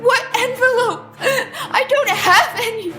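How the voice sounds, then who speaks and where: A woman playing a terrified, bewildered young woman in an audio drama, stammering and gasping with a trembling, breathy voice. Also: very high voice, slow pace, bright, forceful tone, soft background music, full character voice. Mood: terrified, pleading.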